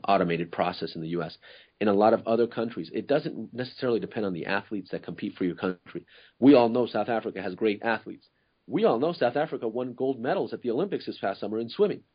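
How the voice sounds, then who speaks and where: A man from Ecuador talks through a podcast topic in an earnest, searching way, full of fillers and restarts. His voice sits low and a little husky. The recording is dull and lo-fi. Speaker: co-host. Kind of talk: casual podcast discussion.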